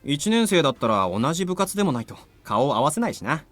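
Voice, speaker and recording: A breezy teenage schoolboy from a visual novel, higher-pitched and very fast. His delivery swings up and down as he grumbles good-naturedly like a best friend. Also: very animated, fairly bright tone. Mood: casual, curious. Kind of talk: Japanese visual novel voice acting.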